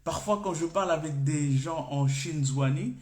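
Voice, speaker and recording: A man's voice: on the high side, normal speed, a little mellow. A man whose first language is Comorian, giving an unscripted coaching talk to camera in French. He thinks aloud in an informal way, often stopping and restarting his phrases. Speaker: personal development coach. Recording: echoey room.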